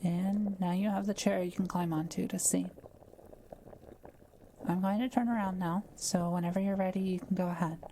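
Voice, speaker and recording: Woman playing a gentle, mellow-voiced kind witch. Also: lowish voice, fairly even intonation, moderate pace, a little hiss or hum, close to an everyday voice. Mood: reassuring, instructive. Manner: gentle, caring, softly reassuring. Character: kind, soft-spoken woman witch who loves solitude. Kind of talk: intimate roleplay audio drama, addressing the listener.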